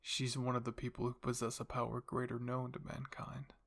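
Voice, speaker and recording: Guy doing creepy older dad in a story, gravelly, takes his time w every word. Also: medium pitch, completely flat delivery, a bit bright. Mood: coaxing. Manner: slow, savoring, deliberate delivery. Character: slightly old grandfatherly man, delighted, quietly sinister father.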